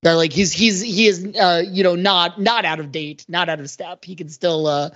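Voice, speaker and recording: A man talking casually and analytically as a panelist, halting and often rephrasing, on slightly dull audio. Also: sits high, fairly even intonation, fairly bright tone. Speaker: podcast panelist.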